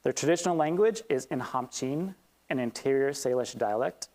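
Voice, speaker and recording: A man's voice: medium pitch, animated, moderate pace, darkish tone. A man hosting a community event, reading a prepared statement, then introducing the speaker with a few ums. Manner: reads steadily, then loosens with ums. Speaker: event host. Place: event hall with audience.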